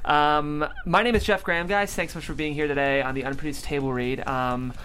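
A man's voice: fairly high pitch, steady tone, brisk, bright, forceful tone. A man hosting a casual panel show, speaking in an effusive, gracious way over a noisy recording. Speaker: show host. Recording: clearly noisy room sound.

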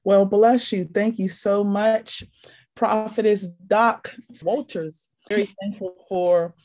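A woman's voice: mid-range voice, fairly even intonation, takes it slowly, darkish tone. A woman from Pittsburgh, a podcast guest, talking casually and rambling with many fillers and repeated phrases. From Pittsburgh, Pennsylvania. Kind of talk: casual spoken testimony.

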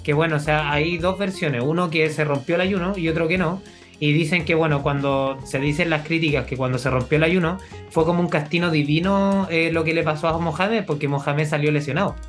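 A young man with a Chilean accent, speaking in a slightly higher register. He chats casually with his co-host, stacking up fillers and laughing easily. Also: brisk, faint music behind. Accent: Chilean Spanish. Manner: chatty, laughs often, many fillers. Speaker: podcast co-host. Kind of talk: casual chat.

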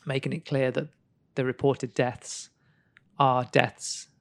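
A man's voice: medium pitch, level tone, slow pace, vocal fry, dry recording. A man hosting a podcast, chatting casually and teasing his co-host, laughing often. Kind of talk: casual chat.

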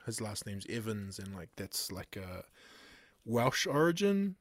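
A man's voice: lowish voice, big pitch swings, a little slow, no echo. A man with a New Zealand accent hosting a podcast, laid-back and casual, laughing often and calling his guest "bro.". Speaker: podcast host. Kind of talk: casual chat.

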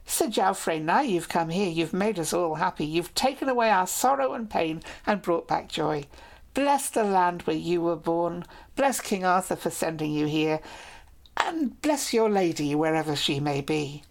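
A woman's voice: fairly low pitch, lively intonation, a little slow, vocal fry. Woman voicing a clever noble lady. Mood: warm, persuasive. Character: young noble lady, proud, clever, teasing.

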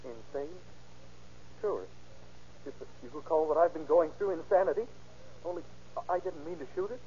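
A man's voice: on the high side, normal speed, dark tone, lightly acted. An actor playing an intense, slangy, lovesick young man in an old-time radio crime drama. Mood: anguished, bitter. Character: distraught, lovesick young man confessing to a shooting. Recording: dull, noisy vintage radio recording.

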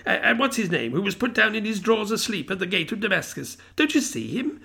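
A man's voice: sits high, sing-song, vocal fry, sharp tone, slightly rough, voice pushed up, full character voice. A man doing a giddy old miser near tears. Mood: ecstatic. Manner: rapid, giddy, between laughing and crying. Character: excited elderly male miser reminiscing.

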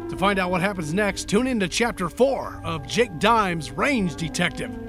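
High-pitched male radio serial announcer. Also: expressive, moderate pace. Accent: American. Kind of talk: scripted old-time radio serial narration. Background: loud music.

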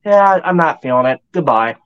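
Guy w a bit nasal voice, kinda high, laid back, muffled lo-fi audio. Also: lively intonation, normal speed, smooth. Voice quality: slightly nasal. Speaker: guest, fan group admin. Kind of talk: casual chat.

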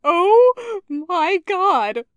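A woman's voice: high voice, very animated, slow, fairly bright tone, dry recording, studio quality, voice pushed up, heavily acted. A woman voicing a brash, playful young man with a teasing, slangy, exuberant delivery in an audiobook-style story. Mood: laughing disbelief.

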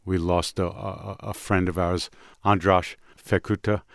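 A middle-aged or older man hosting a music show, telling personal stories in a casual, reflective, halting way. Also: low-pitched, level tone, vocal fry, no echo, clean studio sound.

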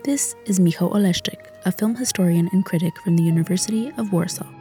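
A woman's voice: lowish voice, fast talker, mellow, faint music behind. A woman reading scripted narration for a documentary.

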